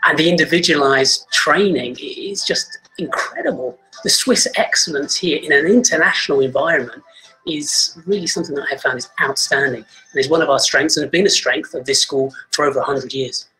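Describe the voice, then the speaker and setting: A man's voice: fairly high pitch, a little fast, bright, forceful tone, faint music behind, poor audio. A man from England, a teacher, speaking earnestly and proudly about his school in a promotional video.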